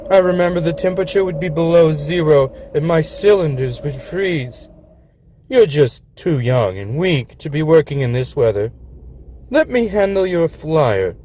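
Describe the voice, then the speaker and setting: A man's voice: a little high, a little mellow, voice pushed up. A man voicing an older male locomotive who brags about hard winters and talks down to his younger brother. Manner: condescending, bragging.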